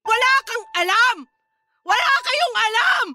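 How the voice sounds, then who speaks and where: A woman's voice: very high-pitched, big pitch swings, measured pace, very noisy, exaggerated voice. A woman with a Filipino accent playing an anguished, short-tempered young aunt in a radio drama. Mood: anguished, bitter.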